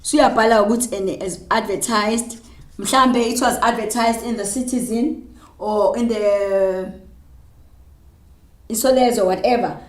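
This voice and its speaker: A woman teacher with a Zulu South African accent, mixing Zulu and English as she dictates slowly while writing. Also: on the high side. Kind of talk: classroom lesson on job applications. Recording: roomy, echoey room.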